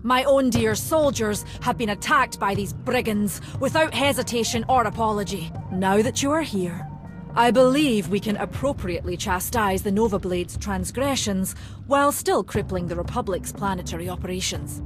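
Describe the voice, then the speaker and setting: A woman's voice: medium pitch, moderate pace, natural voice. Courteous, dutiful female military colonel played by an actress for a game, clipped formality over prominent music. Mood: dry courtesy. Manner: crisp, formal, dryly polite military diction. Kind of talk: video game voice acting.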